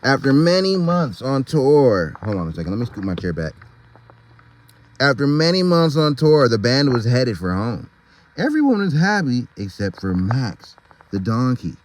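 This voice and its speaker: A man's voice: medium pitch, sing-song, moderate pace. A man reading a picture book aloud, with informal, slangy, chatty asides along the way.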